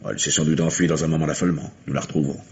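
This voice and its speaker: An actor playing an unruffled, authoritative police detective, brisk and official, in old French radio mystery drama style. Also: male voice, extremely low pitch, steady tone. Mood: calm, official. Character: unruffled, authoritative police lieutenant of criminal brigade. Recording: muffled, noisy vintage radio recording.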